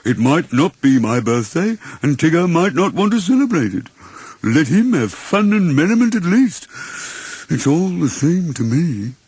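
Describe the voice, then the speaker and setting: A man voicing a gloomy, self-pitying old donkey in a storybook, slow and drawn-out in a martyred, resigned way. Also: fairly high pitch, big pitch swings, darkish tone, breathy edge, dry recording, voice pushed up, heavily acted. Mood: sulky, resigned.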